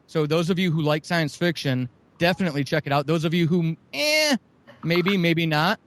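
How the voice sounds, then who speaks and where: A man with a fairly high voice, recorded dry and close. He talks as an enthusiastic guest plugging creative projects, earnest and effusive, leaning on his words for emphasis. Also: dry recording. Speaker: guest, audio drama producer. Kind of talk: enthusiastic interview talk, promoting shows.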